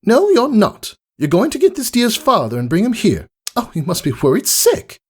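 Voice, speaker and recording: Storybook role: ladylike, theatrical unicorn mare male performer, fussy and genteel, high swooping pitch. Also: wildly swooping pitch, moderate pace, breathy edge, heavily acted. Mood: bossy, fretful. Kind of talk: character voice in fan fiction reading.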